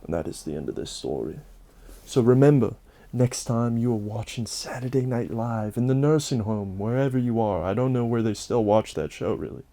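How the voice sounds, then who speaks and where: A man's voice: mid-range voice, lively intonation, moderate pace, dark tone. A man narrating a scripted, satirical story in a solemn, dramatic way with emphatic phrasing. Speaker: host and narrator of a Halloween special.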